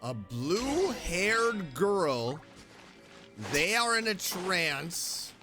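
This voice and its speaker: A man's voice: high-pitched, sing-song, drawn-out words. A man streaming a video game with casual, self-directed, exclamatory commentary. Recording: game music behind.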